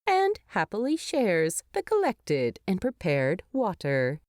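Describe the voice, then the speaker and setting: A woman reading scripted story narration in an expressive storytelling style. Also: medium pitch, slow pace, no room sound, clean studio sound. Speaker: fan fiction narrator.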